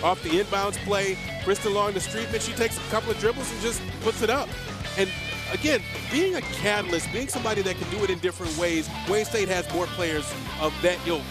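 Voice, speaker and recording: A middle-aged man with a high voice breaks down game highlights from a studio desk. He talks into a headset mic, stumbling and repeating words as he explains each play. Music runs clearly under his talk. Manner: halting, repeats words while explaining plays. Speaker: color analyst. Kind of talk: postgame highlight analysis. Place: studio set. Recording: music bed behind.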